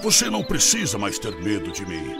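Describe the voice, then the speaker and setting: A man voicing a proud, gruff lion king in a children's cartoon fable, with an imperious, theatrical delivery. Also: on the low side, wildly swooping pitch, brisk, music in the background, full character voice. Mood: humbled, grateful.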